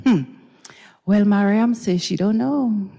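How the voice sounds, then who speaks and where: A woman with a Grenadian accent giving a dramatized story reading, expressive and gossipy, with musing hums and pauses. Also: mid-range voice. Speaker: dramatic reader. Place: university recital hall stage. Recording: reverberant recital hall.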